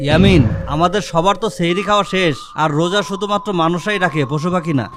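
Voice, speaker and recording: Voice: mid-range voice, fast, noisy background, natural voice. A performer voicing a grumbling, dismissive grown man in a comedy cartoon, speaking with a colloquial Bangladeshi accent. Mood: teasing. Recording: loud music behind the voice. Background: loud music.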